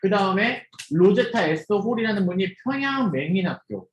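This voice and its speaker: A young man preaching to a youth group over a video call, with a high voice that climbs and dips freely. He keeps quizzing his listeners and joking with them. The room around him rings with echo. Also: a little fast, muffled recording, slight background noise. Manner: lively, asks students questions and jokes with them.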